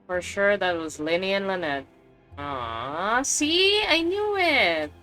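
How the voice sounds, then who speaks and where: Female game streamer, slow casual commentary in short reactive bursts, faint music in the background. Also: medium pitch, very animated, bright, forceful tone. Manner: brief, reactive interjections.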